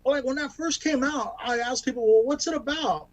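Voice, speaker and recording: A man with a high-pitched voice tells self-deprecating stand-up bits over a video call. He rambles, restarts, and fills gaps with ums. The sound is dry and close, with no room around it. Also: fairly quick, dry recording. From Bakersfield, California. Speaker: stand-up comic.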